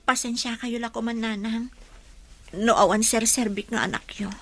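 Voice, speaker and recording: Woman acting a meek, high-voiced sad daughter. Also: fairly even intonation, moderate pace, dry recording, lightly acted. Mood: resigned, tearful. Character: sad, unloved young adult daughter, meek and hurt. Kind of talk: Ilocano radio drama acting.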